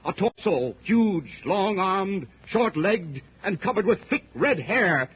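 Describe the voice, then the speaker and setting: Male narrator, husky and unclear tone, suspenseful, theatrical, 1930s radio serial, muffled recording. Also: high-pitched, very animated, slow.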